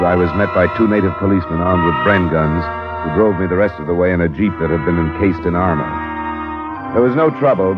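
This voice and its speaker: A man's voice: low voice, natural voice. An actor playing a terse male insurance investigator who narrates his own case in a 1950s radio drama. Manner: terse, matter-of-fact, probing. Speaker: lead detective and narrator. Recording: lo-fi old radio broadcast with music.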